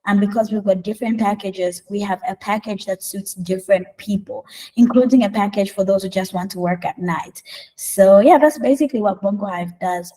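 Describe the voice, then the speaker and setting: A woman from Zambia presents over a video call. She moves through a list of services with repeated phrasing. Her voice is at a middle pitch and has a warm, mellow timbre. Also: low-quality recording. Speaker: co-working space representative. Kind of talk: short presentation.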